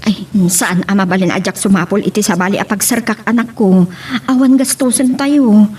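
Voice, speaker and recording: A woman's voice: mid-range voice, steady tone, moderate pace, a little mellow, breathy edge, natural voice. A woman playing a caring, hardworking mother in an amateur Ilocano family drama. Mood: tender, reassuring. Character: caring hardworking mother seeking work abroad. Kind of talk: Ilocano radio-style family drama acting. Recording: distant mic, background noise.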